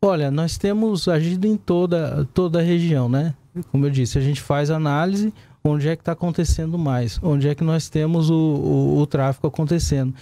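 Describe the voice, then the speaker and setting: Mellow, higher-pitched Brazilian man. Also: steady tone, moderate pace, no echo. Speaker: police battalion commander, interview guest. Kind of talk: live studio interview answers. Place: small indoor broadcast studio. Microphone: desk gooseneck microphone.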